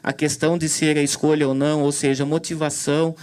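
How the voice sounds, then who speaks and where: A man's voice: on the high side, flat delivery, fairly quick, a little mellow. A man moderating a conference session, talking hesitantly with many fillers and restarts. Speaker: event moderator. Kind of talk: commentary on a talk.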